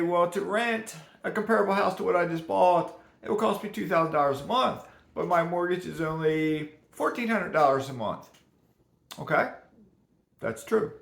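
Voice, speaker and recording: Chatty hoarse man, gravelly. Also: high-pitched, normal speed, echoey room. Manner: rambling, chatty, laughs at himself. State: rough, hoarse voice today. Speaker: personal finance vlogger. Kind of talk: casual unscripted talk to camera.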